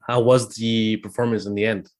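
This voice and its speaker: A man's voice: fairly low pitch, little pitch movement, moderate pace, darkish tone. A male interviewer who speaks sparingly. He asks short questions and otherwise gives brief reactions while the guest talks. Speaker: podcast host, interviewer.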